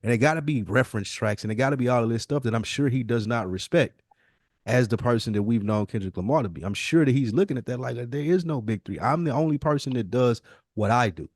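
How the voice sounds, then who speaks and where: An American man chats about hip-hop in a slangy, opinionated style. His voice sits in a middle-to-higher male register and is recorded very dry, with emphatic delivery. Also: fast talker, a little mellow, dry recording. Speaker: podcast guest. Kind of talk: casual hip-hop discussion.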